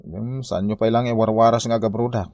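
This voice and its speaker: A man's voice: lowish voice, steady tone, fast, vocal fry, a little mellow, no room sound, muffled recording. An actor playing a flustered, aggrieved village man in Ilocano comedy drama, with an Ilocano accent. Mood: exasperated.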